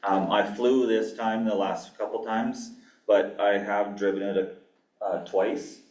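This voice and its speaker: A man from Canada giving an academic lecture as a guest pharmacy professor, with a flat monotone delivery, dry asides and many ums. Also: on the low side, takes it slowly. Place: lecture hall. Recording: echoey hall, mic far off.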